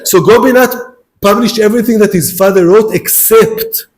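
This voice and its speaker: A man's voice: high-pitched, normal speed. A man with an Israeli accent, a native Hebrew speaker, giving a university philosophy lecture and speaking straight to his audience, often repeating and restarting his words. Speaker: guest lecturer. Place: lecture hall.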